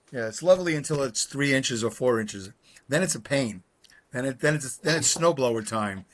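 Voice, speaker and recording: A man's voice: mid-range voice, a bit bright. A man, chatting casually on a video call, rambling with lots of fillers and self-deprecating humor. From Brooklyn, New York. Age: 60s. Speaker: podcast co-host. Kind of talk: casual chat. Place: his home.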